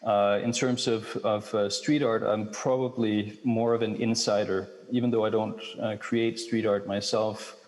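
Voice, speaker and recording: Low, flat-voiced man in an echoing hall. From Denmark. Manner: measured, hesitant with frequent fillers and restarts. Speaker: keynote speaker, researcher. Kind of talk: academic lecture and Q&A answer. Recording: long hall reverb.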